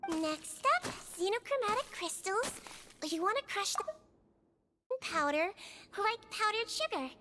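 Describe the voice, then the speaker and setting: A woman voicing a small, girlish nonhuman nurse in a video game, gentle, earnest and cheerfully matter-of-fact, explaining things patiently. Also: very high-pitched, animated, a little slow, a little hiss or hum, full character voice. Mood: cheerful, instructive. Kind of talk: animated video game voice acting. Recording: music playing behind the voice.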